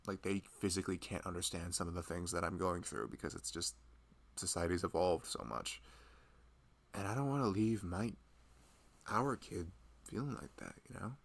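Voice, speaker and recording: Guy doing a sweet anxious husband asmr thing, real low gravelly voice, rambly and chatty. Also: normal speed. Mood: anxious, confiding. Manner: rambling, hesitant, conversational, frequent "you know". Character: loving young husband, tender, rambling, anxious about fatherhood. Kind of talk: intimate ASMR boyfriend roleplay, improvised-sounding chat.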